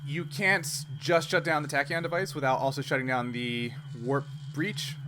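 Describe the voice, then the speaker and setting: Game master man over clear background noise. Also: a little high, steady tone, normal speed. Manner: laughs easily. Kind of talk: casual tabletop game rulings.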